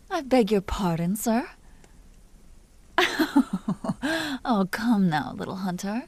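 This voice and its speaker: A woman playing an elegant vampire duchess in an audio roleplay, speaking softly with formal, languid, teasing courtesy and an amused, predatory air. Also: mid-range voice, lively intonation, extremely slow, no echo. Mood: amused, taunting. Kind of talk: dramatic roleplay audio performance.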